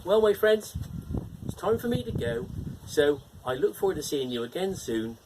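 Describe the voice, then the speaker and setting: A friendly, playful gnome reads a bedtime fairytale. His fairly high voice swoops up and down with lively energy, and he slips in teasing jokes as he goes. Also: normal speed, a little hiss or hum, poor audio. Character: cheerful male gnome storyteller, friendly and playful. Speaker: storyteller host.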